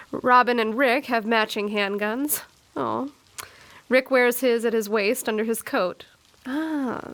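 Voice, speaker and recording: A woman's voice: fairly high pitch, a bit bright. A woman playing a wry, chatty narrator in a murder mystery audio drama, with playful asides. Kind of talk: playful, conversational story narration.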